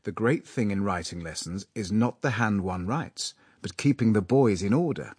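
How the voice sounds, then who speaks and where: Guy reading as awkward self-important teacher, animated, little husky, storybook. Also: lowish voice, moderate pace, dry recording, clean studio sound. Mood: dismissive. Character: self-important young male writing master, awkward suitor.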